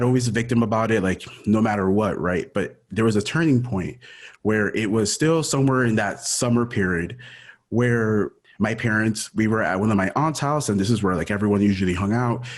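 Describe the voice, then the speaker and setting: Low-voiced guy in his 30s, from ecuador, raised in miami, chatty casual storytelling. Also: fairly quick. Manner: casual, says dude and like often. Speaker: guest. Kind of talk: casual personal interview.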